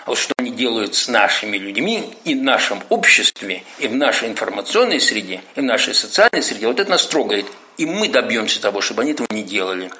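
A man, a priest, lecturing by phone into a radio studio. His voice is bright and swings widely in pitch, and he stretches out key words for emphasis. The line is muffled and dull. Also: big pitch swings, fast, few pauses. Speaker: priest guest. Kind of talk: sermon-like commentary.

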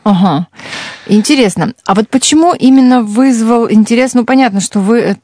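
A woman's voice: on the high side, normal speed, a little mellow, dry recording. Curious woman interviewer, dry sound. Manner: curious, chatty, rephrases her questions. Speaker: interviewer host. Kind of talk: interview questions.

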